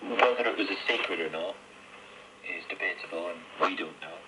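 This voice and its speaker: A man's voice: deep voice, brisk. A middle-aged man telling his personal story as a guest in a radio interview, heard over shortwave radio. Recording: very noisy, crackly shortwave radio reception.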